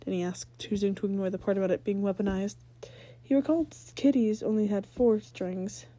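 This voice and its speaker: A woman narrating fan fiction as an amateur reader, a little halting, sometimes stumbling and rereading words. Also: medium pitch, steady tone, dark tone, no echo.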